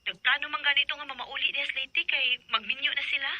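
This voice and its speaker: Piercingly bright young woman. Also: high voice, steady tone, fast talker, dry recording, dull audio. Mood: blunt. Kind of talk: radio drama acting.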